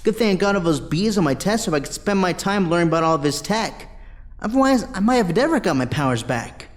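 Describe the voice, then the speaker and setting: An actor playing a chatty, nerdy teenage boy hero in an audio drama, excitable in manner. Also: high-pitched, fast.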